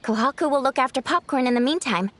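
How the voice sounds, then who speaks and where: A little round creature from a fantasy game with a high-pitched voice. It is polite and earnest, explains things carefully and hesitates softly before it helps. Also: female voice, fast. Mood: helpful, careful. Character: small, round, polite dream-eating Baku creature, earnest and helpful. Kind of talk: video game voice acting.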